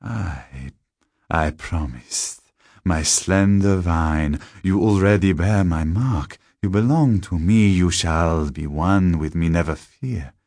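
Man voicing a very deep, husky, menacing vampire. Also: dry recording, clean studio sound, heavily acted. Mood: amused, possessive. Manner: slow, deliberate, soft-spoken menace. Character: tall sardonic male vampire, menacing and seductive. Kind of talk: gothic horror audiobook character.

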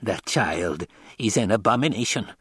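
A man's voice: lowish voice, expressive, moderate pace, fairly bright tone, breathy edge, no room sound, clean studio sound, full character voice. Man acting a bitter, stern old woman. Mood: venomous. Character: stern, fearful old woman truth-sayer, bitterly condemning.

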